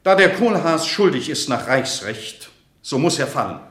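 Ein Schauspieler spielt in einem deutschen Hörspiel einen unnachgiebigen sächsischen Gesandten, der kühl, förmlich und beharrlich spricht. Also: male voice, on the high side, sing-song, normal speed, fairly bright tone. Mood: cool, threatening. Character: unyielding, legalistic male envoy of Saxony. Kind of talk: German radio play acting. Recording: roomy, echoing chamber sound.

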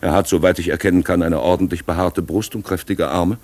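An actor playing an urbane, cynical gentleman with dry, measured irony in a 1950s German radio play. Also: low voice, steady tone. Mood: amused, sardonic. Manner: dry, ironic, measured, articulate. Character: urbane, cynical gentleman friend of a rich host.

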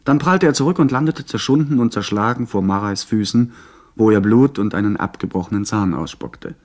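An actor playing an earnest young gentleman who narrates a radio play in an articulate, literary way. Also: on the low side, expressive, brisk, lightly acted. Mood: narrating.

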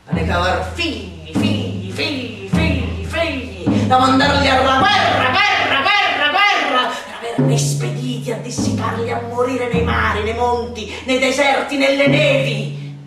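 A woman's voice: high voice, expressive, a little slow. A woman giving a declamatory, emphatic theatrical recitation of a literary monologue, with dramatic swings. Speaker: stage and radio actress performing a literary monologue. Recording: echoey room, distant mic, loud percussion music. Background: timpani and percussion music.

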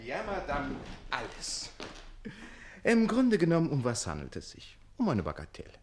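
Cocky scheming manservant, theatrical and boastful, very lively melody, classic radio drama. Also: male voice, medium pitch, moderate pace, a little hiss or hum. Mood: sly scheming. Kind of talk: classic German radio play acting.

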